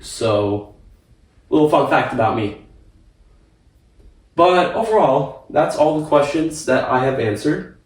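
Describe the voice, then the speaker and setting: A young man answering viewer questions in a casual vlog, rambling and repeating himself with casual swearing. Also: medium pitch. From United States. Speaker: fitness vlogger. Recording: echoey room, mic at a distance.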